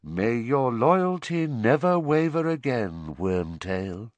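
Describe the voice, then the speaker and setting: A man voicing a cruel dark lord in an audiobook, speaking softly and coolly with measured menace. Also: mid-range voice, very animated, no room sound. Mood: cold menace. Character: cold, cruel dark lord, adult male.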